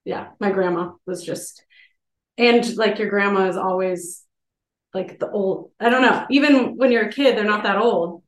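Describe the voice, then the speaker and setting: A woman's voice: mid-range voice, unhurried. A woman chatting hesitantly and self-deprecatingly in a casual conversation. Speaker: guest. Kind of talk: casual chat. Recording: echoey room.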